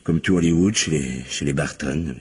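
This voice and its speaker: Gruff defensive middle-aged movie producer, really deep raspy voice, radio mystery play. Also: male voice, moderate pace, heavily acted. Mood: rattled, bitter. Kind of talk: French radio crime drama acting.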